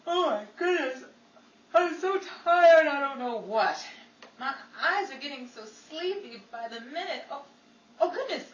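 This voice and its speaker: A woman playing Betty, a flustered, scatterbrained yet quick-witted woman, with theatrical exclamations in 1940s radio comedy style. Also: high voice, lively intonation, lo-fi sound. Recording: echoey room, distant mic, faint noise.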